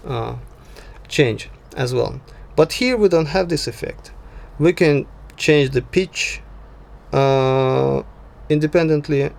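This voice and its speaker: A man narrating an unscripted software tutorial as the tutor, talking haltingly and often checking in with a short tag word. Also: medium pitch, creaky. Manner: halting, frequent "yeah" check-ins. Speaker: sound design tutor.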